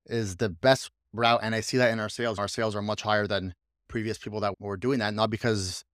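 A man's voice: lowish voice, steady tone, brisk, a bit bright, no room sound, studio quality. Enthusiastic rambling male podcast host. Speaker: podcast host, coach and business owner. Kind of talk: casual podcast chat.

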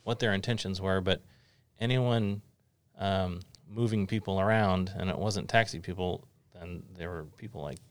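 A man's voice: sits low, fairly even intonation, normal speed, few pauses, smooth. A middle-aged man hosting a casual interview podcast in an office studio, rambling a little with lots of fillers. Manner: rambling, friendly, many fillers. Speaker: podcast host.

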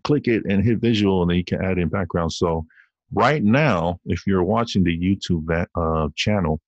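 A man with a very low voice hosts his own podcast alone, chatting and wandering from one thought to the next. He is recorded on a computer through a video call app, so the sound is muffled and dull, with no room around it. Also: no room sound. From Samoan heritage. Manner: rambling, playful, talks to listeners as y'all. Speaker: podcast host. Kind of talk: casual solo podcast rant. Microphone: computer recording via video call app.

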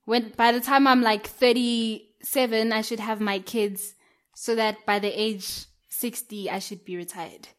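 A woman's voice: fairly high pitch, steady tone, measured pace. A young woman, chatting casually on a podcast, filling her talk with "like" and "um" and laughing often. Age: young adult. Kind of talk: casual chat.

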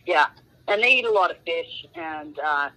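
A woman's voice: deep voice, animated, normal speed, pushed and bright, rough recording. A woman, a guest author chatting in an interview, rambling and full of fillers like um and you know. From Canada. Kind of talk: casual interview chat.

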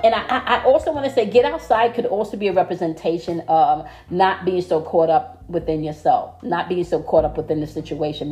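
A woman's voice: lowish voice, warm tone. A woman giving a casual daily tarot reading, blunt and profane, checking in with "okay?" after nearly every point. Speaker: tarot reader.

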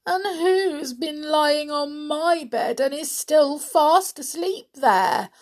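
A woman voicing a tearful little baby bear from a storybook, squeaky and whiny. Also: slow pace. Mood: upset.

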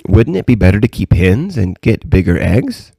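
A man's voice: lowish voice, big pitch swings, normal speed, gravelly, warm tone, no echo, studio quality, exaggerated voice. A man voicing a curious, eager little princess, an innocent girl full of questions. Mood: eager, inquisitive.

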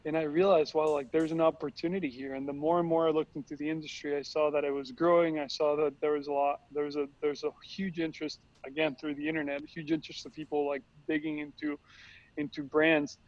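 Young man, from Costa Rica, halting, repeats words, fairly high, flat pitch, dull sound. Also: no echo. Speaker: tequila company founder. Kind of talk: casual interview answers. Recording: dry, slightly dull sound.

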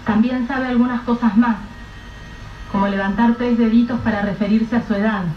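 A woman narrating a radio drama in a measured, grave storytelling manner. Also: on the high side, fairly even intonation, slight background noise. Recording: roomy, dull, distant mic with soft music.

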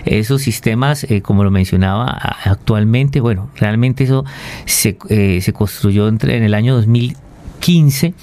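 A man's voice: fairly low pitch, unhurried, dark tone. A Colombian man explaining technical matters informally as an interview guest, hesitating and repeating words as he goes. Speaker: interview guest, waste treatment expert.

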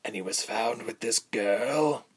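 A man voicing a sinister commander in an audiobook, coarse and breathy, speaking slowly with quiet, cold derision. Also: on the low side, voice pushed up. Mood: cold menace. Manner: slow, drawling, quiet and derisive. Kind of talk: audiobook character voice.

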